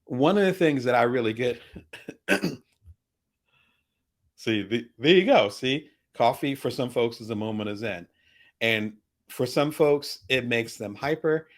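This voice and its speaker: A middle-aged man hosting a casual vlog, chatty and animated, full of asides and shout-outs to his viewers. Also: mid-range voice, unhurried, long pauses. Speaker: vlog host. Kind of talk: casual talk to camera about products.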